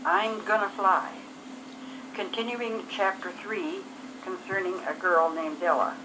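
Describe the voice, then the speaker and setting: A woman's voice: medium pitch, fairly even intonation, measured pace, noisy background. A woman reading an audiobook aloud, heard as playback from a recorded cassette tape.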